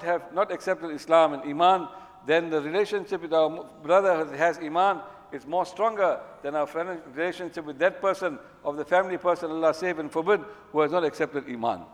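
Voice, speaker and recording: Fast-talking male preacher in an echoey hall. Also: a little high. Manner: emphatic, repeats phrases for stress. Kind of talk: religious sermon. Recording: echoey hall with long reverb.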